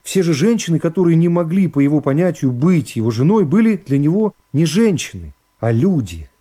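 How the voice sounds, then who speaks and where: A man narrating a literary radio play in a measured, expressive storytelling manner. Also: a little high. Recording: music behind the voice. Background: plucked guitar music.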